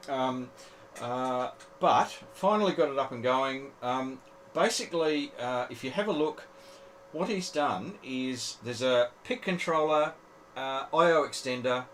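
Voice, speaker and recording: A man's voice: mid-range voice, a little slow, a bit bright, slight background noise. A man hosting an informal live technical show-and-tell for hobbyists, often repeating his words and phrases. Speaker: host of an amateur radio club presentation.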